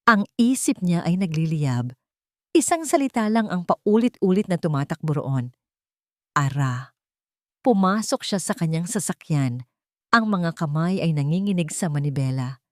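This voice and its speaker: Female storyteller, low register, dramatic scripted delivery for romance audio fiction, close dry recording. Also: no echo. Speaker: story narrator.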